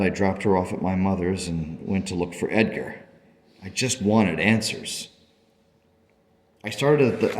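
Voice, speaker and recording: A man's voice: fairly low pitch, natural voice. An actor playing an earnest, grateful father in a radio play, telling his story emphatically and emotionally. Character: earnest, grateful father of a young daughter, searching for answers. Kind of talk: dramatic first-person storytelling. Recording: long reverberant hall echo.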